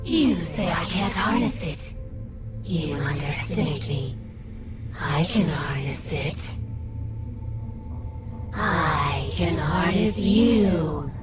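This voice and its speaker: A young woman wrestler in a video game, hungry for dark power. Her voice is low and she speaks slowly and deliberately, with menace and defiance. Loud music plays behind her. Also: expressive. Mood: defiant, threatening. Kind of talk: video game cutscene acting.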